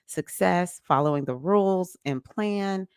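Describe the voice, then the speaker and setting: A low-voiced woman in her early fifties hosts a livestream in a relaxed, chatty way. She laughs easily and greets viewers by name as she goes. The sound is dry and close, with no room echo. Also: measured pace, clear, resonant tone. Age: 50s. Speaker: livestream host. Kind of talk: casual livestream chat on astrology.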